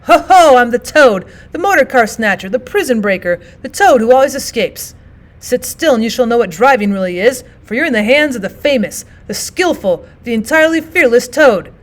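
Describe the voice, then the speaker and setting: A woman's voice: on the high side, animated, normal speed, natural voice. A woman voicing a reckless, self-admiring male toad in a storybook, bragging in grandiose exclamations. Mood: reckless, boastful.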